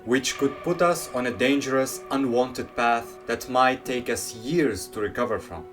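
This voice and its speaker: A man in his twenties from Syria talks in a calm, earnest, measured way at a mid pitch, like a scripted reflection. Clear guitar music plays steadily under his voice-over. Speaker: video essayist.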